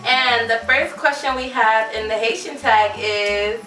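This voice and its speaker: Young woman hosting a chat w friends, high bright voice, laughy, echoey room a bit off mic. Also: a little slow, recorded from a distance, faint music behind. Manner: laughing, upbeat, hypey. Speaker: host.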